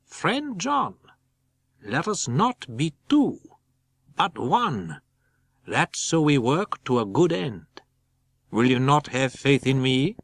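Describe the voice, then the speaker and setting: A man voicing a stern, commanding old foreign professor in a dramatic audiobook, with deliberate, halting phrasing. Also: medium pitch, very animated, gravelly, no echo, pitched above the performer's own voice, heavily acted. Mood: earnest pleading.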